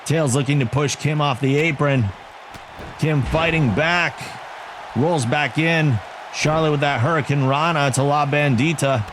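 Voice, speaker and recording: Male play-by-play caller for a game wrestling league, excitable, slightly high voice, crowd and noise under the mic. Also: unhurried. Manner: excitable, self-joking asides between calls. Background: video game arena crowd.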